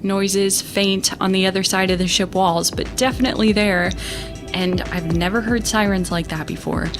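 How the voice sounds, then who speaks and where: An actress playing a young female starship captain, shaken and stubborn, telling her story in a halting, earnest monologue for an audio drama. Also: mid-range voice, steady tone, close to an everyday voice. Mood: weary, earnest. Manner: halting, earnest, self-interrupting. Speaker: lead character recounting events in a broadcast. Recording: music bed under the voice. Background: music.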